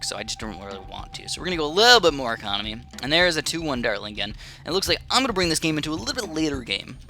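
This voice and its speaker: Man, gameplay commentator, fast rambling chat, lots of fillers, clear game music behind. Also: mid-range voice. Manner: rambling and chatty, many fillers and restarts. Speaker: gaming channel host. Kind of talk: casual live gameplay commentary. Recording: game music playing under the voice.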